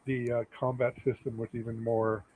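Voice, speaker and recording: A man's voice: medium pitch, level tone, moderate pace, warm tone, breathy edge, no room sound. A man appearing as a guest on a gaming livestream, asking detailed questions about old rule systems. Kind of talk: casual gaming chat. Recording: tinny phone-like call audio.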